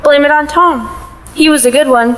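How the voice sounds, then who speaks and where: A woman's voice: sits high, fairly even intonation, moderate pace, fairly bright tone, a little hiss or hum, poor audio. A woman playing a sour, blunt immortal woman in a comic audio play, dry and scornful.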